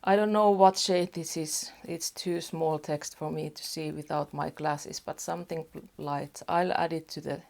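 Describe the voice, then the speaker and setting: A woman with a Finnish accent, chatting casually at home as a beauty vlogger, soft-spoken and rambling with small restarts. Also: low-pitched, fairly even intonation, normal speed, creaky, few pauses. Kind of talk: casual get-ready-with-me chat. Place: home apartment.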